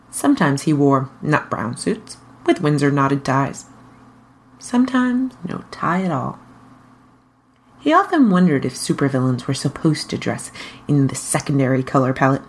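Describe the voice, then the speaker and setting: Low-voiced woman narrating a story. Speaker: fan fiction reader. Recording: faint noise behind the voice.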